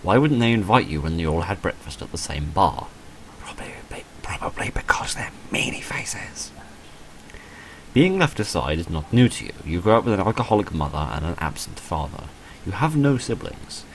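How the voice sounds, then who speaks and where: A man's voice: deep voice, sing-song, normal speed, a little hiss or hum, low-quality recording. A man reading a visual novel game aloud as he plays, with dry, self-deprecating asides. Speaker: video creator playing and reading a visual novel. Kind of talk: let's play, reading game text aloud with off-script asides.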